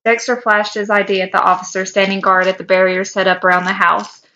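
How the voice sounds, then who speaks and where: A woman's voice: medium pitch, little pitch movement, a little fast, pushed and bright, highs cut off. A woman co-hosting a podcast, reading a story aloud and now and then stumbling over a word. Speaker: podcast co-host. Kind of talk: reading fan fiction aloud.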